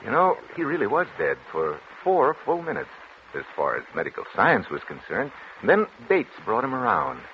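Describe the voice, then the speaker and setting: An actor playing a troubled, solemn doctor in a formal, deliberate 1940s radio drama style. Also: male voice, mid-range voice, wildly swooping pitch, gravelly. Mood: animated, explaining. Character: troubled, solemn male doctor and psychiatrist narrating his confession. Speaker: actor, narrator and lead. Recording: dull, noisy 1940s radio recording.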